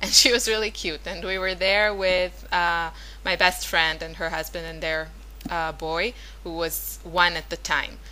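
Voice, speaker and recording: Woman, 30s, native Hebrew speaker; casual rambling crafting narration with a bright, slightly low voice. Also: flat delivery, measured pace, rough recording. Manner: chatty, rambling, many fillers and self-corrections. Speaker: scrapbooking vlogger.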